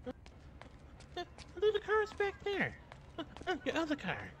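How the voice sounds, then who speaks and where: A man's voice: very high-pitched, huge pitch swings, slow pace, a bit bright, pitched above the performer's own voice, full character voice. A man voicing a naive, childlike young man who is eager to please, stammering earnestly in an improvised game roleplay. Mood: innocent.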